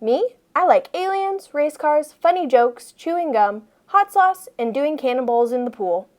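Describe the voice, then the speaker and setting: A woman voicing a cheeky, exasperated schoolboy narrator from a children's storybook, with emphatic, expressive delivery. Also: high voice, measured pace. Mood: grumbling, cheeky. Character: reluctant schoolboy narrator who hates reading, cheeky, exasperated.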